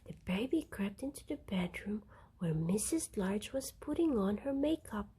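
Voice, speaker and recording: A woman reading a children's bedtime story aloud in an expressive narrating style. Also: mid-range voice, moderate pace, slight background noise. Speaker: storybook reader.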